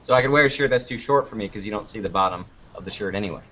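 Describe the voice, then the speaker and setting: A man with a fairly low voice talks as an interview guest, rambling and joking at his own expense. The delivery is casual and unscripted. The sound is somewhat dull and lo-fi. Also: rapid-fire, fairly bright tone, slight background noise. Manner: rambling, self-deprecating, jokey. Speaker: interview guest, online video maker.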